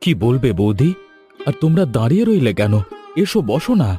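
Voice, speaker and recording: A man voicing a widowed village father who is gentle and grieving, soft-spoken and hesitant. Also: medium pitch, big pitch swings, brisk, close to an everyday voice. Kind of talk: Bengali cartoon dubbing. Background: background music.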